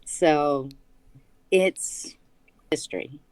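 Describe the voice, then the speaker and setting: A woman guest on a casual interview podcast, speaking haltingly with restarts and easy laughter. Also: low-pitched, a little mellow. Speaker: guest, small business owner.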